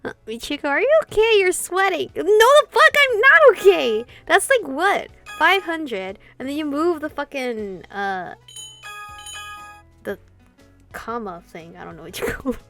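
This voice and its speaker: A woman hosting a gameplay channel, reading dialogue aloud and commenting in an expressive way, free with swearing. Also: high-pitched. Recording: game music behind.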